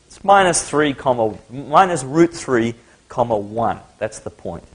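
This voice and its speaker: A man's voice: mid-range voice, expressive, a little slow, slightly rough, low-quality recording. Middle-aged Australian male lecturer, conversational, thinks aloud at the board. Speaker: maths lecturer. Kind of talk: live classroom lecture.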